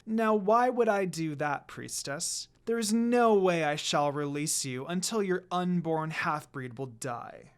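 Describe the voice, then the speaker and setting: A man's voice: a little high, unhurried, few pauses, clear, resonant tone, close to an everyday voice. A man voicing a cruel, jealous female demon with a sneering, growling manner.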